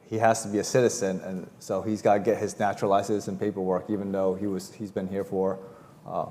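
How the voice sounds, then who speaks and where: A man's voice: sits low, flat delivery, fast, mellow, long reverb tail. A man whose first language is Chinese, a police officer speaking casually with many fillers on a panel. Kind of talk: panel discussion. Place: university forum hall.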